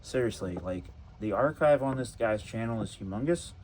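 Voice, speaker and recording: A man's voice: medium pitch, lively intonation, normal speed, a little mellow, slight background noise. A man hosting a video, giving casual, off-the-cuff commentary on audio clips. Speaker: video host.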